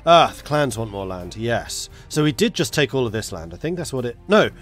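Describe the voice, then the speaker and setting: A man's voice: mid-range voice, big pitch swings, brisk, a bit bright, faint music behind. A man with a cold, congested as he chats casually with viewers while thinking aloud through a strategy game. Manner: chatty, muttering to himself while planning. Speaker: gaming streamer. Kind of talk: casual live gameplay commentary.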